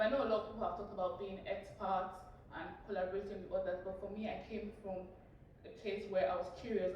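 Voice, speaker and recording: A woman's voice: mid-range voice, a little mellow, dull audio, slight background noise. A young woman from Nigeria, a PhD student, introducing herself earnestly with frequent fillers. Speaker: PhD student, community facilitator. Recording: echoey room, distant mic.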